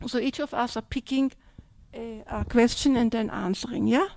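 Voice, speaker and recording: A woman's voice: on the high side, fairly even intonation, moderate pace, vocal fry, a little mellow. An older woman, a Buddhist nun from Austria with an Austrian German accent, giving a chatty dharma talk that keeps checking in with "yeah?" and "yes?".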